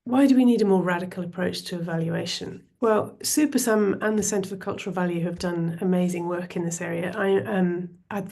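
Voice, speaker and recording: A middle-aged woman giving a panel talk over a video call, her voice croaky from a cold, conversational with frequent hesitant fillers. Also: lowish voice, darkish tone. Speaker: panel speaker from a health and arts alliance.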